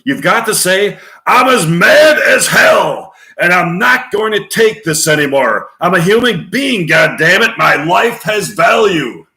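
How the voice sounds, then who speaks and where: A furious rebel, acted out by a man, shouts a rousing film monologue. The voice is pitched high and forceful, declaiming in an echoing room. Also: big pitch swings, measured pace, gravelly, hardly any pauses, exaggerated voice. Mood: furious, urgent. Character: furious ranting man urging everyone to rebel. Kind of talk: dramatic movie monologue reading. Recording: roomy, echoing space.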